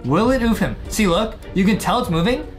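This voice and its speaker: A man in his twenties with a high, very animated voice commentates gameplay. He speaks excitably to viewers and often repeats his phrases. Music plays clearly behind him. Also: brisk. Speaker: gaming video host.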